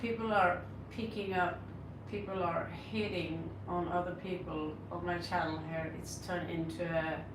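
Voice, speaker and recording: A woman's voice: fairly low pitch, steady tone, unhurried, few pauses, recorded from a distance. Blunt Norwegian-accented woman, echoey room. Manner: blunt, scolding, sarcastic asides to chat. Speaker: tarot reader livestream host. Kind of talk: casual live-stream chat answering viewers. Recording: echoey room, mic set back, audible noise.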